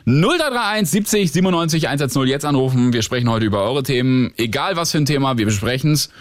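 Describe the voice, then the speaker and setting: A man's voice: medium pitch, lively intonation, fast, dry recording. A man hosting a call-in radio show from the studio, upbeat and chatty. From northern Germany. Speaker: radio host.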